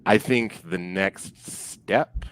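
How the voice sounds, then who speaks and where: A man chatting casually as a sports podcast guest, halting and circling back as he talks. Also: low voice, fairly bright tone, clean tone, dry recording. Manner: halting, hedging, profane. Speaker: podcast guest and co-host of a fan podcast.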